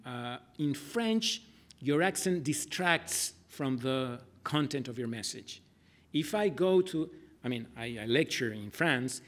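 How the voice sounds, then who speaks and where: A middle-aged man speaking at a lectern in a lecture room, answering audience questions with many restarts and fillers. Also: a little high. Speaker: speaker at an academic forum.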